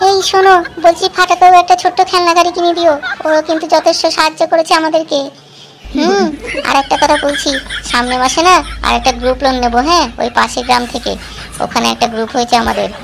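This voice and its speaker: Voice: high-pitched, fairly even intonation, fast, vocal fry, background noise. A performer voicing a crafty, demanding village housewife in a comedy cartoon, wheedling and self-righteous. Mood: sly, scheming. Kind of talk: amateur comedy cartoon dub.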